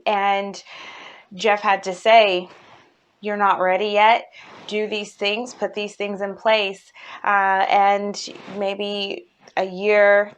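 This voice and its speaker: A woman speaking as a company CEO, telling a personal story in a promotional talk, earnest and halting, with stress on key words. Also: mid-range voice.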